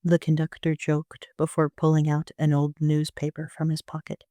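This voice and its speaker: A man's voice: on the high side, completely flat delivery, normal speed, warm tone, no echo, clean studio sound. A man narrating a first-person audio drama as an amateur reader, in an understated storytelling manner.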